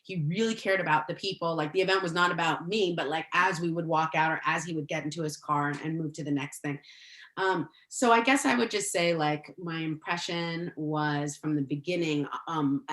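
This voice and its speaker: A woman from El Salvador speaks in a lowish voice in a casual podcast chat. She is animated and laughs often, with plenty of "like" fillers. The room has an audible echo. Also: normal speed. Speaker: guest, former education official.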